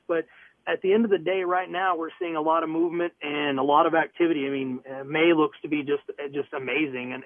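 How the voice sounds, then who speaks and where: A man's voice: a little high, dry recording. A man from Southwest Missouri, a real estate broker, answers radio interview questions in an upbeat, earnest and plainspoken way over the phone. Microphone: telephone. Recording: tinny phone line.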